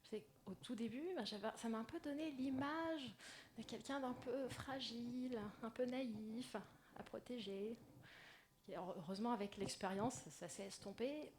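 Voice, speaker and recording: A woman with a soft, gentle voice, speaking to an audience in a personal, lightly self-deprecating conference talk. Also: a little high, measured pace. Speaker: conference speaker, agile coach. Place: indoor conference room. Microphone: stage microphone. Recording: noisy live microphone in a talk room.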